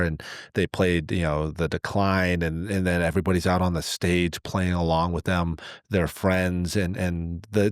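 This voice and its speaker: A man's voice: low-pitched, dry recording, clean studio sound. A man in his forties co-hosting a podcast, talking casually and reflectively in a rambling way full of "like" and "you know". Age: 40s. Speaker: podcast co-host.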